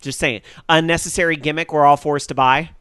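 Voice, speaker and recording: Casting note: male podcast host, slightly high, smooth clear voice, relaxed and candid. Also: fairly quick, no room sound, studio quality. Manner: candid, laid-back, hedging. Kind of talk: casual chat.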